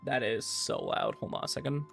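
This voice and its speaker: A man hosting a gaming livestream, talking casually and haltingly to his chat, often repeating words as he goes. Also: medium pitch, wildly swooping pitch, creaky, a bit bright. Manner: conversational, halting, repeats words while reading and answering chat. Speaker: livestream host, gamer. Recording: music playing behind voice. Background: game music.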